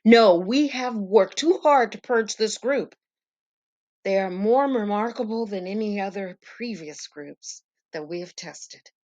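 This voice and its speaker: A woman playing a smug, coldly confident male scientist doctor with a measured delivery in an audio drama. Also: medium pitch, expressive, darkish tone, muffled recording, lightly acted. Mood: firm, proud.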